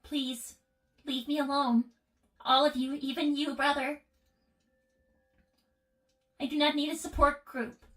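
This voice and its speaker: A woman's voice: sits high, level tone, slow pace, pauses often, fairly bright tone, rough recording. A woman voicing a young robot girl in a livestream roleplay, solemn, withdrawn and formal in her speech. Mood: distressed, withdrawn. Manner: formal, precise, subdued. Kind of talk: improvised in-character livestream roleplay.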